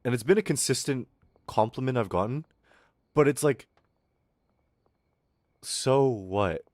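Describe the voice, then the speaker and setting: Animated Australian man ranting on stream. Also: mid-range voice, measured pace, dry recording, clean studio sound. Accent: Australian with some American. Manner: animated, swearing, mocking voices. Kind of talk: casual ranting stream chat.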